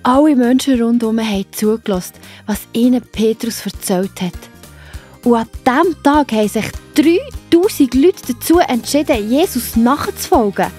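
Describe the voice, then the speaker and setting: A woman's voice: on the high side, animated, moderate pace, warm tone, faint music behind. A woman with a Swiss German accent narrating a children's Bible story in a scripted storytelling style.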